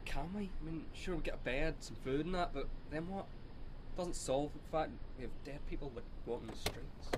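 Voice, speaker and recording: A man's voice: on the high side, normal speed, natural voice. A man acts out a self-doubting young survivor in his twenties. He speaks in a hesitant, stammering, self-deprecating way, in radio drama style, over faint noise and ambience. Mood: uneasy. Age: 20s.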